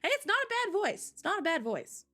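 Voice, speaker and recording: A woman hosting a live stream in casual chat, bubbly and quick to laugh, still waking up. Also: very high voice, very animated, pushed and bright, faint music behind. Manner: giggly, bubbly, laughs often. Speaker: streamer host.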